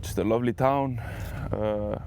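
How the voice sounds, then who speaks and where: A man's voice: fairly low pitch, fairly even intonation, normal speed, mellow, a little hiss or hum. A man in his twenties from Iceland, whose first language is Icelandic, chatting in a casual interview as a football player and often saying "you know what I mean". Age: 20s.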